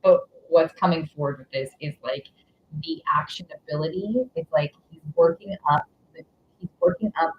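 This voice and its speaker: A woman's voice: sits low, lively intonation, moderate pace, breathy edge, highs cut off. A woman doing a live tarot reading in casual chat, rambling with many fillers and giggles. Her nose is stuffy. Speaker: tarot reader.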